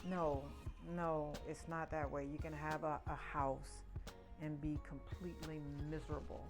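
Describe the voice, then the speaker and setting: A woman's voice: sits low, flat delivery, measured pace, music in the background. A woman in her sixties sharing personal reflections in conversation, emphatic and repeating words for stress. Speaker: podcast guest, the host's mother.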